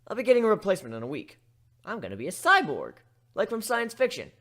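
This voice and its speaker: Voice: mid-range voice, fast talker, pitched above the performer's own voice, heavily acted. A man as a cocky, swooping-voiced young griffin guy. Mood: casual, proud. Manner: deadpan, teasing. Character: cocky, sarcastic young male griffin turned human.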